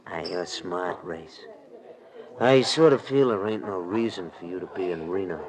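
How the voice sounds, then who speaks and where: An actor playing a smug, menacing gangster who talks in sneering slang, in 1940s radio drama style. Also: male voice, low voice, moderate pace, a little mellow. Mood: sly menace. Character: menacing, smug, small-time male gangster tough. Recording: noisy old radio broadcast recording.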